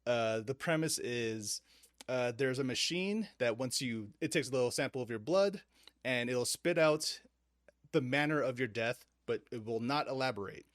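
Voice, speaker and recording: A man's voice: medium pitch, lively intonation, moderate pace, no room sound, clean studio sound. A man hosting a casual podcast, often laughing at himself and stumbling over his words. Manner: laughing, self-deprecating, stumbling. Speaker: podcast host.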